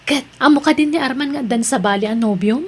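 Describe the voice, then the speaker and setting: A woman's voice: high voice, a little fast, a little hiss or hum, natural voice. A woman playing a caring, devout, chatty friend in an Ilocano drama, with an Ilocano accent. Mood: caring, inquisitive. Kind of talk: Ilocano radio-style drama acting.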